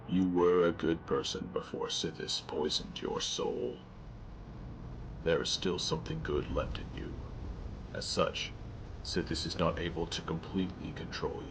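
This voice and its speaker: A man plays a deposed former god in a game, calm and resigned as he faces his end. His deep voice stays measured and solemn, with a faint background hum. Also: full character voice. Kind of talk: fan voice acting for a game mod.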